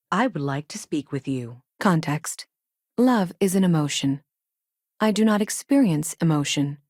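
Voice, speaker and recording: A low robotic ai lady for a space game, clipped n literal, detached, super dry sound. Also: a little mellow, no echo. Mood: measured, analytical. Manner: clipped, announces each statement with a one-word label, literal. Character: self-aware female ship AI, detached, logical, childlike earnest. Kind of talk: video game character dialogue.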